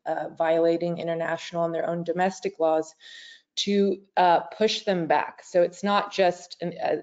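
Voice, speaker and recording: A woman with a very low, raspy voice presents research findings on a video call. She speaks in a measured way, often hedging. The call sound is dull and lo-fi. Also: darkish tone. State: raspy voice today. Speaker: research director. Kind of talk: webinar presentation.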